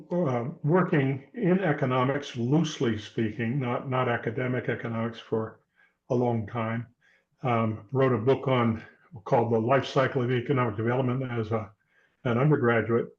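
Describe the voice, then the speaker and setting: A man in his seventies introducing himself on a video call, rambling and reflective, often correcting himself. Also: mid-range voice. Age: 70s. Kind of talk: self-introduction in online meeting. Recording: muffled video call audio.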